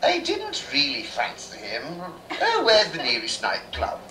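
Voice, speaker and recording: A woman playing a forlorn, bawdy pantomime girl on an amateur stage, with drawn-out theatrical delivery. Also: very deep voice, wildly swooping pitch, heavily acted. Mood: mock lament. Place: small bar stage, distant camera. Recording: echoey noisy bar, far from mic.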